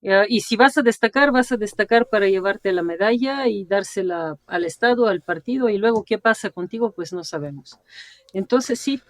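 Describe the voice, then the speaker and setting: A woman's voice: medium pitch, normal speed, no echo. A woman in her forties from Bulgaria, speaking as an interview guest. She tells stories animatedly, tags nearly every phrase with a questioning "no", and laughs as she talks. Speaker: interview guest, sinologist and translator. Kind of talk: conversational interview storytelling.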